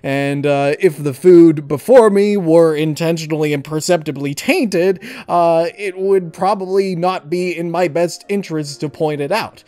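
A man giving casual interview answers in a halting, wordy way, often amused. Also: fairly high pitch, dark tone. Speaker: interviewee, audio story reader. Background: music behind the voice.

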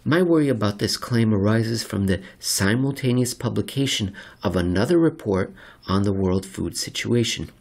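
A man with a slightly husky voice narrates a written article in a deliberate, measured way with dramatic pauses. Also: lowish voice, lively intonation, darkish tone. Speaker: narrator reading an article aloud. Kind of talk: scripted narration of an economics article.

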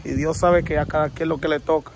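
Street interviewer, slightly high voice. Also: male voice, fairly even intonation, a little fast, crowd noise. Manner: addresses viewers directly, repetitive. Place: outdoors on a residential street. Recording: outdoor street noise.

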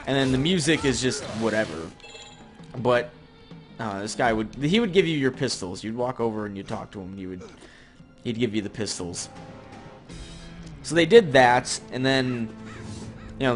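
A young man giving casual gameplay commentary, rambling and sarcastic with some swearing. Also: medium pitch. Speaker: gaming video host. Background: game music and effects.

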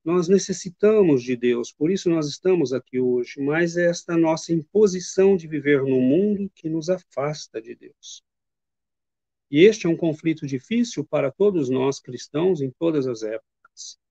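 A middle-aged man giving a devotional spiritist lecture from his home study, speaking in a measured, earnest and reflective way with pauses. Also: a little high, animated, mellow, no echo. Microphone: webcam video call. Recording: muffled lo-fi video call audio.

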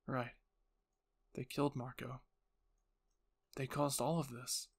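A man's voice: medium pitch, flat delivery, slow, husky. Man acting a young soldier's earnest inner voice. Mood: bitter, resolved.

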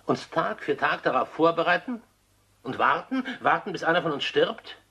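A man's voice: a little high, lively intonation, normal speed, bright, forceful tone, breathy edge, muffled recording, exaggerated voice. An actor playing an appalled, sceptical man in his late sixties in a radio crime play, agitated and hesitant, repeating his words in protest. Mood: indignant. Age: older. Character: appalled, sceptical sixty-eight-year-old male friend.

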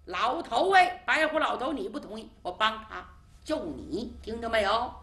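A woman voicing a cheeky, bossy little boy who appoints himself peacemaker, talking fast and boastfully. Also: fairly high pitch, lively intonation, a bit bright. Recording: roomy echo.

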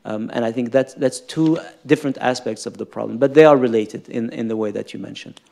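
A man answering as an expert panelist on stage, formal and measured, halting as he repeats words. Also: mid-range voice, creaky, mellow. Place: stage in an auditorium.

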